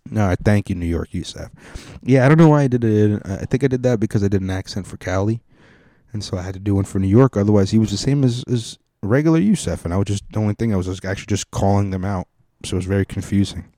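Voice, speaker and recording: Rambling, joking podcast host with a low voice. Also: male voice, lively intonation, fast, warm tone, no echo, clean studio sound. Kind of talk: casual comic podcast monologue.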